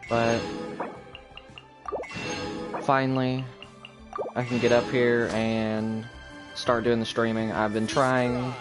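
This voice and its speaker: A young adult man chatting casually on a live game stream in a rambling, halting, low-key way. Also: little pitch movement. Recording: game music behind voice. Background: video game music.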